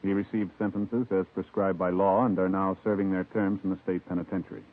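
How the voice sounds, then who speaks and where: Fast, low-voiced American radio announcer. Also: male voice, level tone. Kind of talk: formal 1950s radio announcing, scripted. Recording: tinny vintage radio recording over music.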